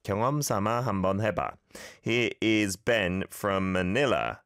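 A man with a lowish voice hosts a casual radio language segment, his pitch swinging up and down as he repeats phrases slowly for listeners. He is easygoing and a little self-mocking. The sound is dry and close, with no room around it. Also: lively intonation, a bit bright, clean tone, no echo. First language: not Korean. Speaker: radio show host teaching Korean. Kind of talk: casual radio lesson chat.

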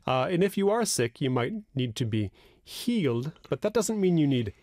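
A man's voice: medium pitch, big pitch swings, moderate pace, darkish tone, dry recording, clean studio sound. American man explaining words dryly. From United States. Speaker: podcast co-host. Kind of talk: casual chat about language.

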